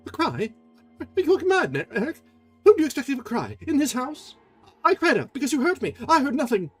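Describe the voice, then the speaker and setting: A man voicing a frightened, pleading young woman in a high, breathless voice for a storybook reading. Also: big pitch swings, fairly quick, fairly bright tone, soft background music, heavily acted.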